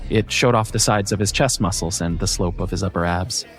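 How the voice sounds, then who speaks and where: A man narrating a first-person scripted story in a measured, wry way. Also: low-pitched, faint music behind.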